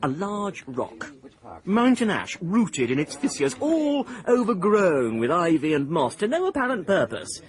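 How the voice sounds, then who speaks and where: A man's voice: high-pitched, very animated, unhurried. An actor playing a fussy, conceited landscape improver in a radio drama, condescending and chuckling as he lectures. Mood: smug.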